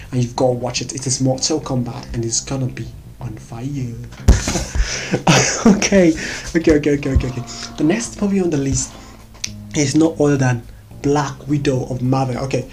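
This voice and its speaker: An excitable vlogger with a Nigerian accent, chatting about movies to recommend. The talk bubbles along with frequent laughs and repeated words. Soft music plays faintly underneath at a middling pitch. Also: normal speed.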